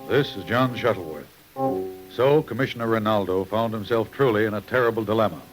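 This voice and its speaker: A man's voice: lowish voice, a little fast, over music, low-quality recording. A man giving solemn, theatrical scripted narration as host of a 1950s radio detective drama. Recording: vintage radio broadcast.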